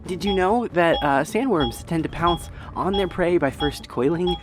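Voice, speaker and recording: Woman acting a low-voiced, nervous, quirky doctor. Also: measured pace, natural voice, low-quality recording. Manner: hesitant, stammering, mild understatement. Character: polite, nervous, quirky doctor in a sci-fi adventuring party. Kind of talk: anime-style audio roleplay acting. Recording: loud music behind the voice.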